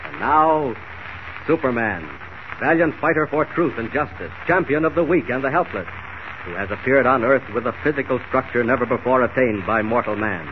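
A male announcer narrates an old radio serial with dramatic, declamatory delivery. His pitch is mid-range, and the 1940s recording is very narrow and tinny, with light noise. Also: lively intonation, normal speed.

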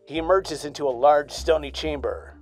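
A man announcing in an over-the-top 1940s radio serial manner, pressing hard on each cliffhanger. His voice is a touch high for a man, and soft music runs underneath. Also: normal speed. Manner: dramatic announcer delivery. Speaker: narrator and announcer.

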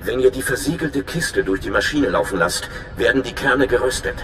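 A man's voice: medium pitch, lively intonation, normal speed, roomy sound, noisy background, close to an everyday voice. A man playing a nervous, friendly young undercover informant in a video game, chatty and informal with a touch of anxiety. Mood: earnest, eager. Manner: chatty, informal, slightly anxious. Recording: clear music behind the voice.

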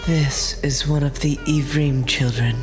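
A woman playing an earnest, composed woman of ancient times in a dramatized scripture reading, with measured delivery. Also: sits low, steady tone, noisy background.

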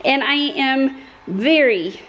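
Middle-aged American woman, high bright voice, enthusiastic casual vlog host, recorded on a handheld camera. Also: slow. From Colorado, United States. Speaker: homesteading vlogger and host. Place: outdoors in a yard, later in a parked or moving car. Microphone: camera at arm's length.

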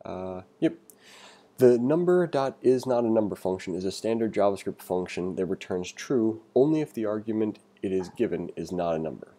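A man with a deep voice and a soft, dark tone leads a read-along programming tutorial. He moves between reading aloud and conversational asides, freely giving his own opinions as he explains. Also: lively intonation, normal speed. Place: voice-over for a screen recording.

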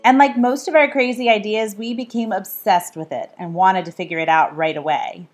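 A woman's voice: medium pitch, fairly even intonation, normal speed, soft background music. A woman who is an author, reading her own book aloud in a scripted style. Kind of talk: scripted read-aloud narration.

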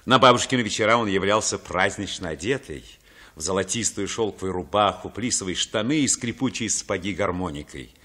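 A man's voice: deep voice, animated, brisk, sharp tone, exaggerated voice. An actor playing a grown man who recalls his childhood, narrating a literary radio play in a reflective way.

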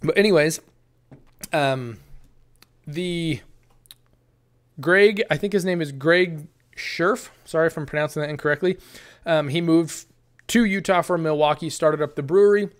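A man's voice: on the high side, unhurried, long pauses. A man in his thirties reviewing a beer from his desk in a casual, rambling way, full of ums and restarts. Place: small room, indoors, green screen. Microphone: desk camera, framed from the chest up.

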